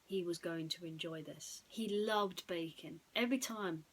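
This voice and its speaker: A woman's voice: on the low side, normal speed, breathy edge, poor audio. A woman storyteller narrating a bedtime story in a dramatic, suspenseful way.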